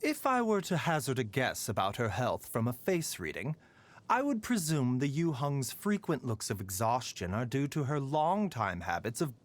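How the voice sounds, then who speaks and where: A man playing a gentle, courteous pharmacist doctor in a game, speaking in a soft, measured and politely wry manner. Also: a little high. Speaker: game character voice lines. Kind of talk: scripted game voice lines.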